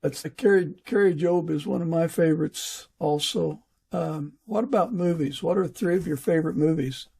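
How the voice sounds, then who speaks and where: Male interviewer, slightly high, heavy fry in the voice. Casual, halting delivery. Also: mellow. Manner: hesitant, halting, chuckles between phrases. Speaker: podcast host.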